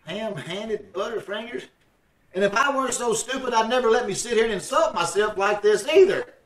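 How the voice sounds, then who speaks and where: A man's voice: high voice, expressive, moderate pace, a bit bright, far from the mic. A man playing a blustering, hapless husband with folksy, slangy wisecracks in old-time radio comedy style. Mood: self-berating. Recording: roomy, noisy online call, off mic.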